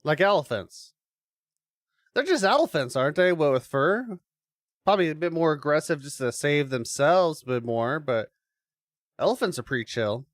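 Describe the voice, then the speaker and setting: A man's voice: on the high side, lively intonation, moderate pace, long pauses, no room sound. Casual man reacting on stream, dry close sound. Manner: casual, swearing, muttered asides. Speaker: streamer hosting a watch-along. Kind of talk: casual live reaction commentary.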